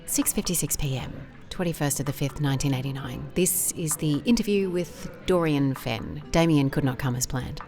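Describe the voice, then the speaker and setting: An actress playing a driven, awkward private investigator in an audio drama, speaking in a formal, wordy, dryly self-deprecating way. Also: female voice, low-pitched, moderate pace, hardly any pauses, music in the background. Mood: businesslike, polite.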